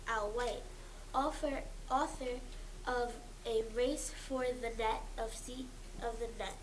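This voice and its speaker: High-voiced female host, noisy live recording. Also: steady tone, slow. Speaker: talk show host. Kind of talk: reading introductions and questions to a panel. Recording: live event with clear background noise.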